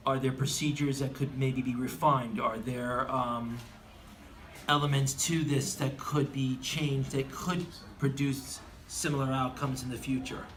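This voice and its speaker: A man with a mid-range voice works as an interviewer. His questions are earnest, deliberate and wordy. Faint background noise sits behind him. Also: medium pitch, level tone, a bit bright. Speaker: interviewer, aviation enthusiast.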